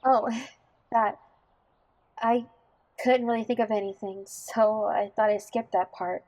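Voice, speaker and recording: A woman playing a down-to-earth, warm-hearted young bride in an audio drama, natural and wry in delivery. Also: medium pitch, steady tone, a little slow, close to an everyday voice. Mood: self-conscious.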